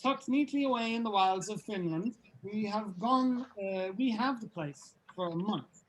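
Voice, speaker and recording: A woman's voice: medium pitch, a little slow, slight background noise, natural voice, poor audio. A woman playing a breezy, self-assured bandleader in an amateur script read-through. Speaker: table read participant.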